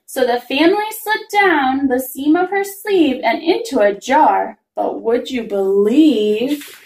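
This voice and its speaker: Female storyteller for children's picture book, very animated singsong read, roomy sound. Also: sits high, takes it slowly. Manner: expressive, singsong rhyming delivery. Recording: roomy, echoing room sound.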